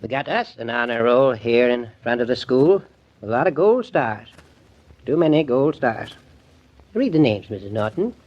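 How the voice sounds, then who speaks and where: A man's voice: mid-range voice, lively intonation, normal speed, close to an everyday voice. An actor playing a plainspoken, earnest older small-town man in a 1940s radio drama, with homespun grammar. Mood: solemn. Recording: dull lo-fi old radio broadcast.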